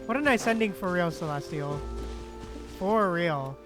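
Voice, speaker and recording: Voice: mid-range voice, animated, unhurried. A streamer giving casual, laid-back commentary while playing a video game. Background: game music.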